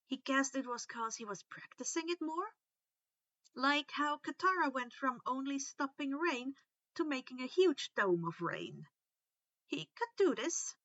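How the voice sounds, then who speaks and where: High, lively woman reading a story aloud. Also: no echo, highs cut off. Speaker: reader of fan fiction for online listeners. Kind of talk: expressive audiobook-style story narration.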